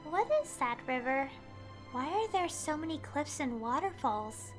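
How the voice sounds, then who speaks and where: Woman voicing a calm reflective traveler. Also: high voice, a little slow, soft background music. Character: calm thoughtful young traveler. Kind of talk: fan voice-over of a game scene.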